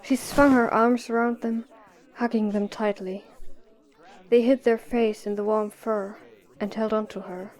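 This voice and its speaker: A woman's voice: on the high side, fairly even intonation, a little slow, a little mellow, rough recording. A woman reading scripted story narration as the narrator of an audio drama.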